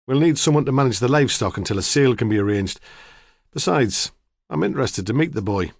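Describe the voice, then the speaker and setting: A man voicing a quiet, measured detective. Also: medium pitch, lively intonation, creaky, no echo, clean studio sound. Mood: calm. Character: calm, reserved male police detective.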